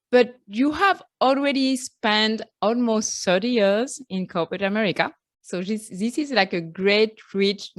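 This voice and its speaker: A woman's voice: fairly high pitch, no echo, studio quality. A woman hosting a podcast, reading an upbeat scripted introduction and asking interview questions. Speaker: podcast host.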